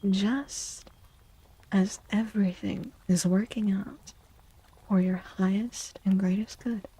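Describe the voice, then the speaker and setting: A woman speaking softly and gently in a breathy, half-whispered ASMR style, like a livestreamer, often repeating phrases. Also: mid-range voice, low-quality recording. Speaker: streamer and tarot reader. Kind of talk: soft-spoken ASMR livestream with casual chat.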